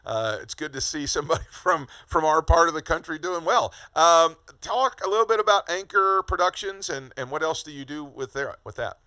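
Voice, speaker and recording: Bright-voiced man hosting an interview. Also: on the high side, animated, normal speed, few pauses, no echo. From Kentucky. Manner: friendly, hesitant with fillers and restarts. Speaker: podcast host. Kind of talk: casual interview chat.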